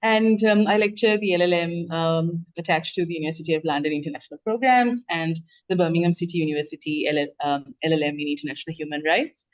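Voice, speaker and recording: A woman with a Sri Lankan accent, a law lecturer introducing herself on a panel, polished and animated. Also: on the low side, highs cut off.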